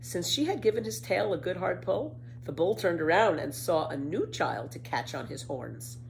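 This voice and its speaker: A woman reading a children's story aloud with dramatic, emphatic, expressive narration. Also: lowish voice, normal speed, vocal fry, poor audio. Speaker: storybook reader. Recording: faint background noise.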